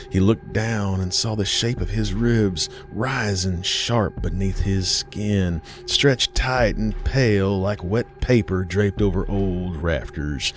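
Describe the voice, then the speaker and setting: An American man narrating a scripted horror tale in a deliberate, ominous, folksy campfire storytelling manner. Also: low-pitched. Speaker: storyteller host of a horror series. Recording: music bed behind the voice. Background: music.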